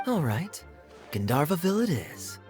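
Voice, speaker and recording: An actor playing a suave, composed young man with a smooth, measured and quietly wry manner in an anime game. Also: a little high, very animated, soft background music.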